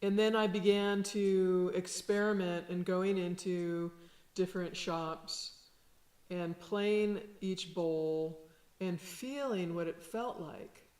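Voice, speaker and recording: Low-voiced woman teaching sound healing, slow and gentle, echoey room. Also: steady tone. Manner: gentle, unhurried, reflective. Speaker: shamanic sound healer teaching. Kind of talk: slow calm instructional talk.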